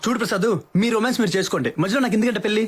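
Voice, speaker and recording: A man's voice: high-pitched, very fast, husky, faint music behind. A young man acting a cheeky, teasing modern son in a film comedy, with breezy, slangy banter. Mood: amused protest. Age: young adult.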